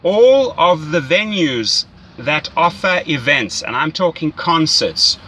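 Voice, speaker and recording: A man's voice: fairly high pitch, big pitch swings, slow pace, bright, forceful tone, slight background noise. A man giving casual, enthusiastic, salesy coaching as a rideshare mentor, often checking in with "right?". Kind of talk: casual coaching phone call.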